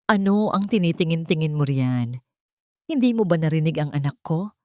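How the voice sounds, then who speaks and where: An elderly mother-in-law played by a woman narrator in an audio love drama. She is scornful and cruel, scolding in a fairly low voice, recorded dry without room sound. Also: animated, moderate pace, mellow, dry recording. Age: older. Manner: contemptuous, scolding.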